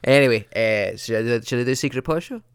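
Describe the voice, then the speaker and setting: A man's voice: medium pitch, fast, clear, resonant tone, dry recording, studio quality. A man co-hosting a podcast, chatting casually in a jokey, rambling, teasing way. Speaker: podcast co-host. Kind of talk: casual chat.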